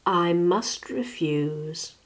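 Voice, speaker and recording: Storybook cockroach heroine read by a woman: young, dainty, courteous female, sweetly spoken and higher than the narration. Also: lively intonation, drawn-out words. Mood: firm. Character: sweet, polite, beautiful young female cockroach, aged twenty.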